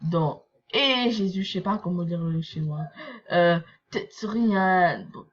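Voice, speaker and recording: A woman's voice: lowish voice, takes it slowly, lo-fi sound, slight background noise. A young woman from Quebec with a Québécois accent, chatting casually as she reads and reacts to a manga chapter, full of fillers and asides. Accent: Québécois French. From Quebec, Canada. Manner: chatty, rambling, many fillers. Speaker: anime reaction vlogger.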